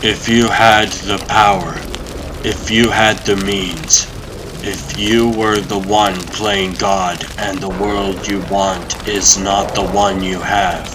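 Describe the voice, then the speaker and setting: A man voicing an intense, calculating character in a comic dub, with a measured, formal, rhetorical delivery. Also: low voice, noisy background. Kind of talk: comic dub dramatic acting.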